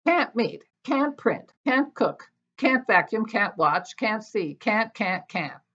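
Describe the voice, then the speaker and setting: An older woman with an American accent reading her own poetry aloud with expressive, deliberate phrasing. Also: on the high side. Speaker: poet. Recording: dull, lo-fi sound.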